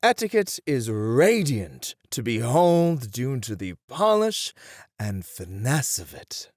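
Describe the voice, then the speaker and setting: Slow, suave courtly etiquette tutor, acted by a man. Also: mid-range voice, sing-song, dry recording, studio quality. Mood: courtly, instructive. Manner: theatrical, deliberate, flowery formal phrasing. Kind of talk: ASMR roleplay acting.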